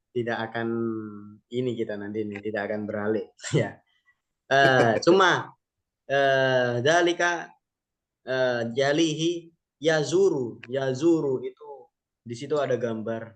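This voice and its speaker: A man teaching Arabic vocabulary in an online lesson, explaining slowly and patiently with many pauses and fillers. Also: medium pitch.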